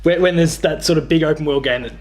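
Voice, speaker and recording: Fast-talking Australian man hosting a chat. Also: on the high side. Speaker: host. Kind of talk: casual chat about video games. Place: living room, indoors.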